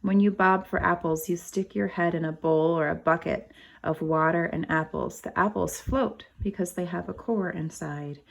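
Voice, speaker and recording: A woman reading a children's picture book aloud as a teacher, pausing to explain things and ask the children questions. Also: on the low side, fairly even intonation, vocal fry. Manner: explains to young children, asks them questions. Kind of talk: animated storybook read-aloud with asides to children.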